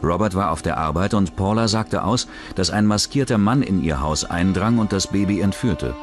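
A man with a very low voice delivers scripted true-crime narration. Prominent music plays behind him as he reads in the manner of a documentary narrator. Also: moderate pace. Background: background music.

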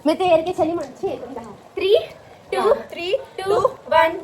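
A woman's voice: very high-pitched, steady tone, measured pace, a crowd in the background. A young woman chatting playfully and casually for a family vlog, recorded outdoors in the rain. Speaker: family vlogger. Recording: noisy outdoor sound with rain.

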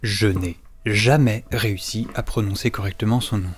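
A man's voice: on the low side, fairly even intonation, moderate pace, crowd noise, natural voice. A man playing an eager, timid notary's clerk who tells his own story in a French audio drama. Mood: measured, wry narration. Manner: hesitant, stammering in dialogue. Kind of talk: French audio drama with first-person narration.

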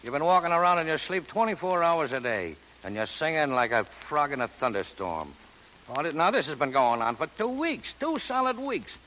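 An actor playing a slangy, exasperated show producer who wisecracks in 1930s radio style. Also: male voice, a little high, big pitch swings, moderate pace, sharp tone. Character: harried, fast-talking, wisecracking male show producer. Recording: noisy 1930s radio broadcast.

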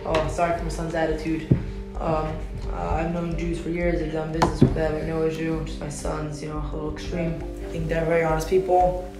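A man's voice: a little high, completely flat delivery, a little fast, hardly any pauses, close to an everyday voice. A young man playing a hesitant landowner who sells property, in an amateur school play recorded in an echoey room with music. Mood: apologetic. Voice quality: hesitant, full of ums and uhs. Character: easygoing adult male landowner selling property.

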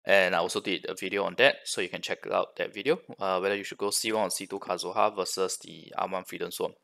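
A man's voice: sits low, fairly even intonation, fast, creaky, bright, forceful tone. A man hosting a gaming reaction video, giving casual, analytical commentary in a conversational way.

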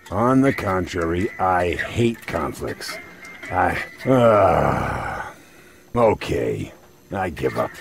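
An actor playing a gruff, world-weary pirate in a video game, gravelly, deadpan and reluctant. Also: male voice, sits low, extremely slow, full character voice. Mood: exasperated. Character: gruff, world-weary male pirate who craves quiet. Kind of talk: animated video game dialogue.

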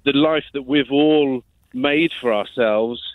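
A man's voice: mid-range voice, slow, no room sound. Hesitant man on a tinny phone line. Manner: hesitant, apologetic, repeats himself. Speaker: phone-in caller. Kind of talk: casual, earnest phone-in conversation. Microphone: telephone.

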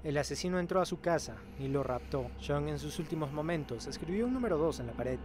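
A man's voice: fairly high pitch, expressive, a little fast, background noise. A man reading scripted narration for a horror analysis video. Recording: music under the voice. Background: music.

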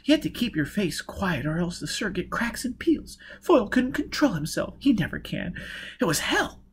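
An irritable woman on the run, voiced by a male audiobook reader. The voice is pitched up and swings widely, with a forceful, impatient bite to each phrase. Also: animated, moderate pace, husky. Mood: angry, grim. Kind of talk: audiobook character voice.

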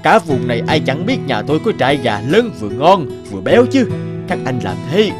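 A man's voice: mid-range voice, sing-song, brisk, music in the background, lightly acted. Man acting a proud, haggling cartoon chicken farmer. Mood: worried. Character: proud, prejudiced middle-aged male chicken farmer, shrewd and haggling. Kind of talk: cartoon folktale dialogue.

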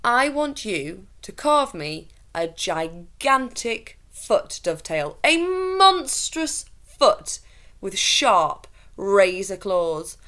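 A woman's voice: mid-range voice, very animated, drawn-out words, bright, forceful tone, voice pushed up, heavily acted. Woman playing a haughty, sinister storybook lord. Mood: commanding, menacing. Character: smooth, sinister, haughty male lord giving orders.

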